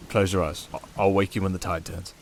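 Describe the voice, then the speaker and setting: A man's voice: low voice, little pitch movement, a little fast, slight background noise. A British man playing a sardonic, restrained siren in an intimate ASMR roleplay, speaking in a wry, hesitant, confiding way. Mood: restrained, gentle. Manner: wry, hesitant, confiding, addressing one listener. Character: sardonic, restrained, softly dangerous male siren sea creature. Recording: water and storm ambience behind voice. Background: running water and rain ambience.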